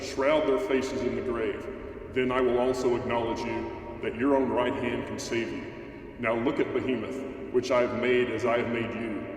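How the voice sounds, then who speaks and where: A man playing a stern, all-powerful God in an amateur scripture drama, speaking in a solemn, commanding, deliberate way. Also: mid-range voice, little pitch movement, close to an everyday voice. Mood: majestic, challenging. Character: God, stern all-powerful male deity rebuking mortals. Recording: very echoey hall, distant, faint noise.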